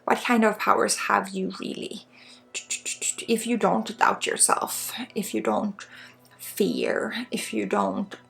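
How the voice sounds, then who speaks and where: A woman from Sweden with a Swedish accent guides a spiritual healing ASMR session in a soft, breathy, informal and halting way, often dropping toward a whisper. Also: medium pitch, fairly even intonation. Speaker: spiritual guide, energy healer. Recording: soft music under the voice. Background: soft music.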